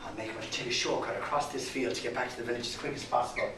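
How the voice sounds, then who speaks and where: A man with an Irish accent voicing a kind, humble hunchbacked man who talks high, breathless and excited. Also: rapid-fire, lightly acted. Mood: worried. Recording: roomy, distant mic, background noise.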